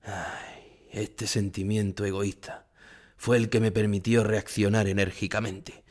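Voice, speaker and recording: Casting: low-voiced Spanish older bullfighter haunted by grief, solemn first-person radio drama narration. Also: male voice, flat delivery, unhurried, a little mellow. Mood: grieving, haunted. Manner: solemn, emotional storytelling. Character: famous older bullfighter, grief-stricken, remembering his dead rival. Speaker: narrator playing a bullfighter recalling the past.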